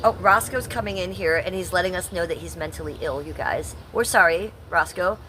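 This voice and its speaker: Brief: sarcastic middle-aged Ohio woman, lowish voice, livestream host, faint background noise. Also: brisk, bright, forceful tone. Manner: blunt, profane, sarcastic. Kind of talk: casual livestream chat.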